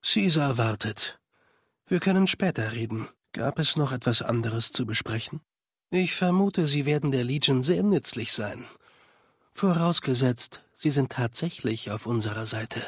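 A man voicing a cold, calculating spymaster of a legion in a video game, speaking in a formal, measured, condescending way. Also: mid-range voice, expressive, breathy edge, no echo, clean studio sound. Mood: formal, commanding. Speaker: game character voice actor. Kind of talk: dubbed video game dialogue.

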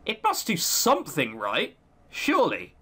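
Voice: medium pitch, wildly swooping pitch, a little slow, sharp tone, slightly rough. A game commentator narrating a visual novel playthrough and commenting on it. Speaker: let's player reading a visual novel aloud.

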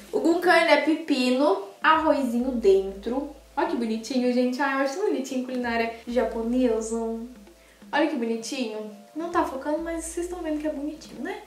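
High-voiced young woman gushing over food. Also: echoey room. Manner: gushing, animated, addresses viewers directly. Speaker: food vlogger. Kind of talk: casual food tasting vlog. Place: small indoor room by a sofa.

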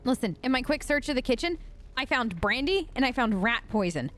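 High, animated woman chatting through a game. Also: a little fast, faint music behind. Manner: flippant, chatty, joking in character. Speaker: let's play host. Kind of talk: casual improvised role-play game commentary.

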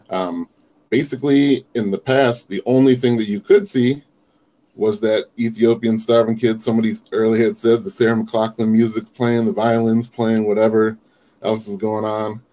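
A middle-aged man speaks on a video call from a small room. His voice is on the low side and very mellow. He talks haltingly, pausing mid-thought as he explains his view. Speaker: caller on a livestream. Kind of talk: earnest opinion chat. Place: small wood-panelled room indoors. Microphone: device mic on video call.

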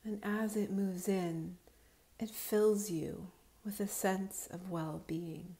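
A woman guiding a relaxation meditation in a calm, soothing, unhurried manner, her voice soft with breathy edges. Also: mid-range voice. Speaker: yoga nidra guide.

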